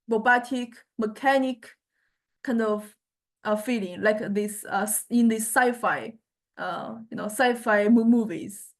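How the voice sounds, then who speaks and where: Slow-paced woman lecturer, halting delivery. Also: on the high side. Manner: halting, hesitant phrasing with frequent check-in tags. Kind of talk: software demonstration lecture, informal and unscripted.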